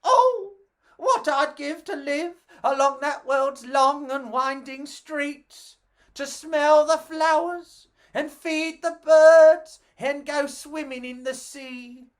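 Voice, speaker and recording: A woman voicing a high-pitched, friendly little alien. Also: takes it slowly. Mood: wistful longing. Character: friendly, eager, dreamy little alien puppet.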